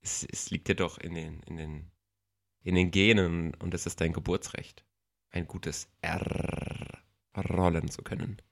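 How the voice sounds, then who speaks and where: Teasing young man with a deep voice. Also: flat delivery, a little slow, creaky. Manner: teasing, playful, jokey. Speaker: podcast co-host and editor. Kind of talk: casual chat.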